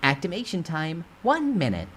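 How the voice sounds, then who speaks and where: Game system voice, male reader: raised pitch, crisp, formal, impersonal notification delivery. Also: wildly swooping pitch, normal speed, fairly bright tone, a little hiss or hum. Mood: formal announcing.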